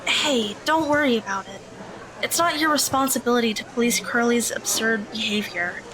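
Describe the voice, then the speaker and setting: An actress playing a polite, earnest high school girl in an audio drama, with a measured, matter-of-fact delivery. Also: a crowd in the background, natural voice, poor audio. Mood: earnest, curious.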